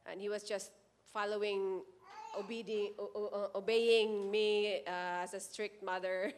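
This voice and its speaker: A woman in her forties sharing a personal testimony at a church service into a handheld mic, earnest and halting, often restarting her words. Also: medium pitch, level tone, a little hiss or hum. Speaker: church member giving testimony.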